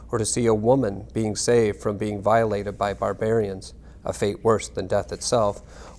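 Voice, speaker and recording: A man giving a scripted reading of narration and historical text in a measured, formal manner. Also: on the low side, darkish tone. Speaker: reader.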